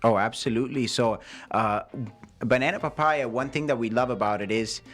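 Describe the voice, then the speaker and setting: A man hosting a casual radio chat, jokey and quick with his interjections as he leads the talk. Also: medium pitch, fairly bright tone, soft background music. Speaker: radio host.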